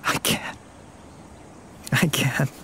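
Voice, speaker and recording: A man's voice: extremely slow, fairly bright tone, slight background noise. An actor playing a vulnerable young man back from military service in an audio drama, hesitant and earnest. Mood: anguished. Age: young adult. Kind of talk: naturalistic audio drama acting.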